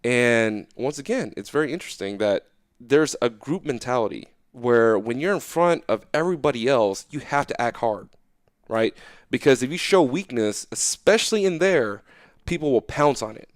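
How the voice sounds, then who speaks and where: Smooth-voiced man telling his story. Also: mid-range voice, moderate pace, no room sound, clean studio sound. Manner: animated, casual, frequent "like" and "right?". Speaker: interview guest. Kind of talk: casual storytelling interview.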